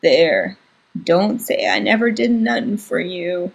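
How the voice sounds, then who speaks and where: A woman voicing an earnest, timid young boy who speaks quietly and slowly to his older sister. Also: fairly low pitch, animated, husky, muffled recording, full character voice. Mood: nervous.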